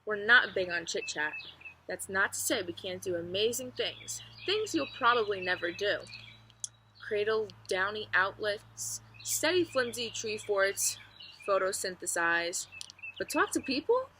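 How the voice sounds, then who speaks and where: A woman's voice: mid-range voice, expressive, unhurried, natural voice. A woman voicing a friendly, proud oak tree with wry, chatty humour in a children's storybook read-aloud. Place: outdoors among trees. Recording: outdoor ambient noise, faint birdsong.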